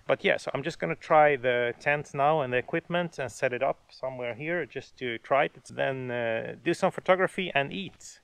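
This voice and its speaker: Man in his thirties, outdoor vlogger, mid-pitched and easygoing, lots of filler words, camera at arm's length. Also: moderate pace, vocal fry, hardly any pauses, clear, resonant tone, no room sound. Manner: relaxed, hesitant with many fillers. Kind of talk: casual outdoor vlog. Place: outdoors in a forest by a lake. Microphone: camera held at arm's length.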